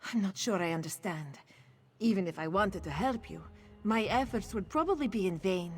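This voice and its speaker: A woman acting as a solemn priestess in a fantasy game. She sounds guarded and earnest, with formal, measured phrasing at a middle pitch. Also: animated, soft background music, lightly acted. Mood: wary, formal. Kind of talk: video game character dialogue.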